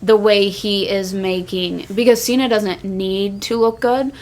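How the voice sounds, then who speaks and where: A woman talking casually as a podcast host, earnest, with halting phrasing that often repeats itself. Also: mid-range voice, steady tone, a little mellow. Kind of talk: casual wrestling discussion.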